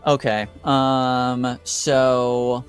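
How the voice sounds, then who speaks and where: A man acting as game master for a tabletop roleplaying session, talking casually and haltingly as he thinks aloud. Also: medium pitch, completely flat delivery, clean tone, faint music behind.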